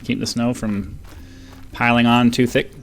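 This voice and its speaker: A man's voice: medium pitch, level tone, unhurried, over music. A man hosting a gaming video, giving casual, rambling commentary in an offhand, informal way.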